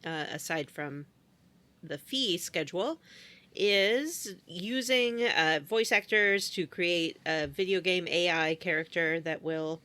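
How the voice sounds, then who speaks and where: A woman's voice: on the low side, takes it slowly, bright, forceful tone. A woman co-hosting a gaming podcast, delivering news in a matter-of-fact, wry way. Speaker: podcast co-host. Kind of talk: casual chat, news segment.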